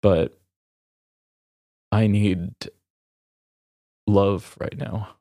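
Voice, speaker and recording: A man who hosts a podcast, talking candidly and haltingly in an emotional, reflective way while grieving. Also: fairly low pitch, fairly even intonation, dark tone, no echo, studio quality. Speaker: podcast host. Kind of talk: raw, candid personal conversation.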